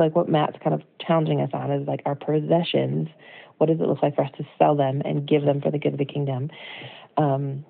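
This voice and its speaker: Low creaky American woman on voicemail. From United States. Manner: conversational, reflective, earnest. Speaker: devotional speaker. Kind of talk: informal voicemail devotional with scripture reading. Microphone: phone. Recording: tinny narrow-band phone voicemail.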